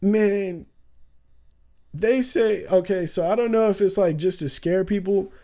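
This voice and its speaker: Laid-back slangy man, mellow voice. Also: high-pitched, measured pace. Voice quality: raspy at times. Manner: loose, slangy, laughs often, says "bro" a lot. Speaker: streamer. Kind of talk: casual livestream commentary.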